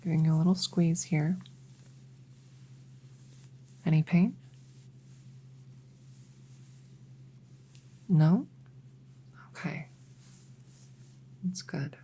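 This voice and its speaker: A woman playing a gentle, reassuring witch veterinarian in a soft-spoken ASMR roleplay, soothing and a little hesitant as she chats to her patient. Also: sits low, steady tone, slow, long pauses, slightly rough, full character voice. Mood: calm, attentive. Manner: soothing, hesitant, chatty bedside manner.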